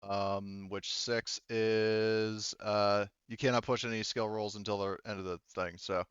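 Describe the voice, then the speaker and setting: Male game master, low clear voice, informal tabletop narration, dry lo-fi recording. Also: flat delivery, measured pace, a bit bright, no echo. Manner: informal, swearing. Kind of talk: running a tabletop roleplaying game, casual explaining.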